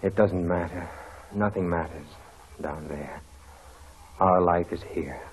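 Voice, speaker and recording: A man's voice: low-pitched, slow pace, slight background noise. An actor playing a proud, passionate young stable boy in love, in 1940s radio drama style. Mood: brooding. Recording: dull old radio broadcast recording.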